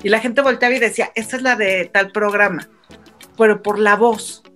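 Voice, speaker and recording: A woman's voice: mid-range voice, steady tone, normal speed, fairly bright tone, faint music behind. A woman, a radio broadcaster reflecting conversationally on her work.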